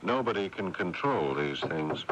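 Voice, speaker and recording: A low-voiced, restrained man, dutiful and understated. He speaks with measured care and slight hesitance. The old AM radio recording is clearly noisy. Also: animated, a bit bright. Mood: cautious, mild. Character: restrained, dutiful brother, narrating and conversing. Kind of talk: 1980s radio drama acting. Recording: noisy 1980s AM radio airing.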